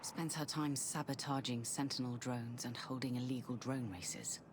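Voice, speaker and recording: A woman's voice: rumbling low, steady tone, moderate pace, background noise. An actress playing a guarded, anxious middle-aged mother, wary and pleading, in a video game. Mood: worried. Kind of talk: video game cutscene acting.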